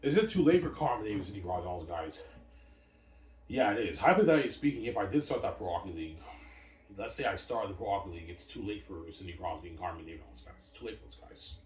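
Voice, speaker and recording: Deep-voiced guy rambling on and on, repeats himself a lot, sits back from the mic in an echoey room. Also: expressive, fast, recorded from a distance. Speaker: solo vlogger. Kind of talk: rambling solo monologue. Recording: echoey room, voice off-mic with faint background noise.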